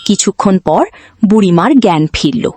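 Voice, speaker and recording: A woman's voice: mid-range voice, moderate pace, background noise. A woman storyteller giving scripted narration of a fairy tale.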